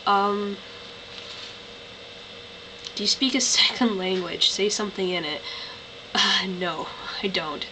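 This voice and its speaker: A young woman with a Canadian accent talks casually to her webcam, her voice a little lower from tiredness. She rambles and fills pauses with "like" and "um". There is clear background noise in the recording. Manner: chatty, rambling, lots of "like" and "um". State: tired, voice lower than usual. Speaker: vlogger. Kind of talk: casual accent-tag vlog. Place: bedroom. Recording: noisy home webcam recording.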